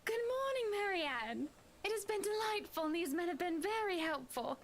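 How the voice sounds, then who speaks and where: Noisy stream audio carries a woman putting on a wealthy married lady from a visual novel. The voice is extremely high, refined and airy, gushing in a chatty way. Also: sing-song, moderate pace, heavily acted. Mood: gracious, chatty.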